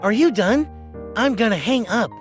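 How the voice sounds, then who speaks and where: A woman's voice: a little high, animated, normal speed, natural voice. Woman doing a cold, impatient young boyfriend. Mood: irritated. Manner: curt, dismissive. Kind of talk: comic dub acting. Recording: music behind the voice.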